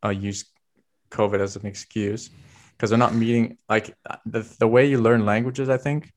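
A man interviewing a guest in casual conversation, relaxed and chatty, asking open questions. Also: on the low side, normal speed.

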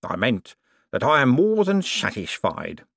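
A man's voice: medium pitch, huge pitch swings, unhurried, vocal fry, a bit bright, husky, no room sound, studio quality, full character voice. A man voicing an oily elderly con man posing as a reverend, speaking in a pious, unctuous way.

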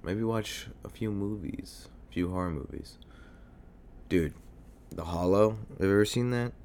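Sick young man, very deep hoarse voice. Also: lively intonation, measured pace, darkish tone, a little hiss or hum. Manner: rambling, swears often, many fillers. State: sick, congested and coughing. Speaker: podcast host. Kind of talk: casual solo podcast monologue. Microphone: desk mic near a computer.

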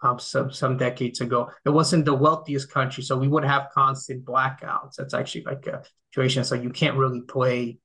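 A middle-aged man from the Dominican Republic speaks as a podcast guest. His voice is bright and forward, at a middling pitch. He talks in a relaxed, thoughtful way, starting over often and filling pauses with "um". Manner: thoughtful, many fillers and restarts. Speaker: podcast guest, game critic. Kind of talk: casual conversational interview.

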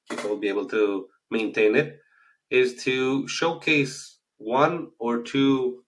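A man's voice: mid-range voice, a bit bright, rough recording. Israeli-accented man hosting a podcast. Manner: earnest, explanatory, frequent "uh" hesitations. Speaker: podcast host. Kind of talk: casual solo podcast talk.

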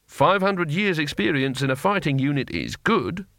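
A man's voice: on the high side, expressive, moderate pace, fairly bright tone, no echo, clean studio sound, voice pushed up. A man voicing a nervous, doubtful wizard in an audiobook story, hesitant and wryly sarcastic as he questions things. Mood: exasperated.

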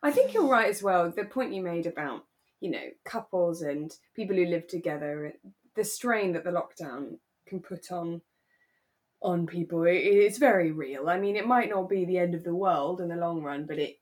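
A woman co-hosting a podcast in a relaxed, casual conversational style. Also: on the low side, moderate pace. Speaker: podcast host; actor. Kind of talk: relaxed casual chat about a radio drama.

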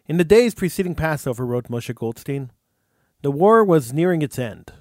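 A man, the host, reading a true story aloud in an earnest, measured way, with an occasional stumble over words. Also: fairly high pitch, a little mellow, no room sound, clean studio sound. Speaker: radio host.

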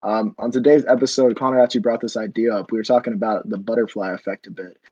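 A man's voice: fairly low pitch, fairly even intonation, fast talker, darkish tone, slightly rough, no room sound, muffled recording. A man in his twenties hosting a casual podcast, talking loosely with many fillers. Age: 20s. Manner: rambling, filler-heavy. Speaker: podcast host. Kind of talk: casual chat.

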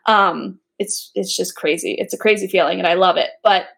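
A woman's voice: on the low side, moderate pace, gravelly, fairly bright tone. A young woman co-hosting a casual podcast, chatting, laughing often and using many fillers. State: pregnant. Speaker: podcast co-host. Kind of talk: casual chat.

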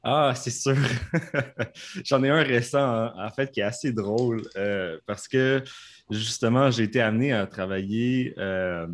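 A man's voice: mid-range voice, measured pace. A man from Canada with a Canadian French accent, an interpreter talking in a podcast interview from his home studio, hesitant with many fillers and restarts.

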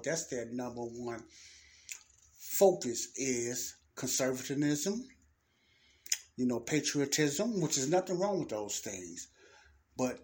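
A man's voice: mid-range voice, a little hiss or hum. A man hosting his own audio podcast, rambling and preachy with many restarts, his nose congested from sinus trouble. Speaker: podcast host. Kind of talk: freestyle talk on faith and life.

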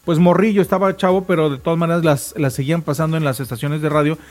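A man's voice: a little high, steady tone, fast. A man with a Mexican accent hosting a morning radio show in casual chat, addressing his co-hosts and listeners conversationally.

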